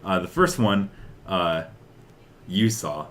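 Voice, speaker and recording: A man's voice: low-pitched, slow pace, a bit bright. A man co-hosting a podcast, chatting casually and enthusiastically about games and film news, with strong opinions. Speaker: podcast co-host.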